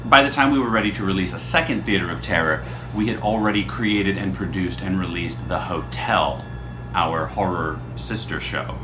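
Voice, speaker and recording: A man's voice: low-pitched, normal speed, noisy background. A man hosting a podcast, explaining his show in a casual, rambling, self-deprecating way. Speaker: podcast creator and host.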